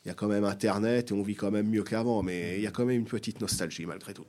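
A man's voice: on the low side, steady tone, fast talker, darkish tone. A middle-aged man from Seine-et-Marne, France, answering as an interview guest, hesitant and often repeating his phrases. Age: 40s or 50s. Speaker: guest writer. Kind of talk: interview answers, personal recollections.

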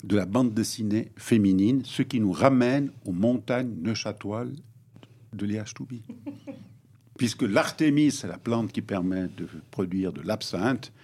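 A man moderating a panel discussion, introducing guests in a lively, playful way. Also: lowish voice, measured pace, slightly rough.

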